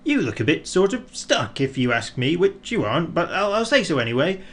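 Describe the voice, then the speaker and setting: A man's voice: on the high side, big pitch swings, fairly quick, fairly bright tone, pitched above the performer's own voice. A man voicing a talkative, helpful storybook snowman who rambles on with many asides. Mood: eager, chatty. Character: chatty, rambling, helpful male snowman brought to life.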